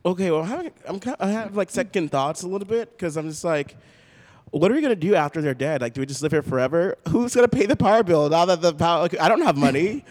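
A man acts out an awkward adolescent boy in an improvised radio comedy. The voice sits high, with halting, hedging delivery full of nervous second thoughts. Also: lively intonation, darkish tone, close to an everyday voice. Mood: hesitant, worried. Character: nervous, hesitant adolescent boy plotting with his sister.

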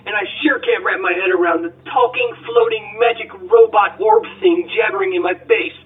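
A man voicing a brash, wisecracking robot gunslinger who tells his tale as a theatrical, excitable audiobook monologue. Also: high voice, normal speed, far from the mic, narrow, tinny audio, lightly acted. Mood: excited, frantic. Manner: theatrical, excitable storytelling with shouted sound effects. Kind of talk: dramatic first-person audiobook monologue. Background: music.

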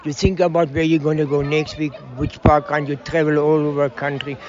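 Brief: older South African man, fairly high voice, halting and eager, dull lo-fi outdoor recording. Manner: excited, halting, repeats words. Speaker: interviewee, parkrunner. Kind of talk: outdoor interview. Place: outdoors at a park.

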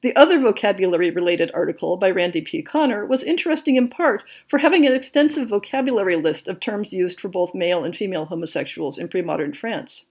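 A woman hosting a podcast, reading a scripted monthly update in a measured, enthusiastic way. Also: mid-range voice, animated, darkish tone, husky. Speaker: podcast host.

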